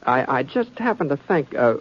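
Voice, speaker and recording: A man's voice: mid-range voice, big pitch swings, moderate pace, slight background noise, close to an everyday voice. Man acting an easygoing Western drifter, lo-fi radio. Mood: curious, sympathetic. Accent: American. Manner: hesitant, understated, mild. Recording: dull, lo-fi vintage radio recording.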